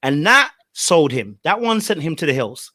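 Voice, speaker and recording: A man's voice: on the high side, sing-song, moderate pace, pushed and bright, no room sound, studio quality. A young man from Ghana hosting a casual podcast about a reality dating show, chatty and laid-back, laughing easily. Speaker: podcast host.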